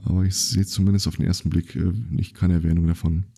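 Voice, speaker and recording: A man talks in a low register with a warm, dark tone. He hosts a casual podcast and tells his stories loosely, full of fillers and restarts. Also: flat delivery, fast talker, gravelly. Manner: rambling storyteller, many fillers and restarts. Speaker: podcast host.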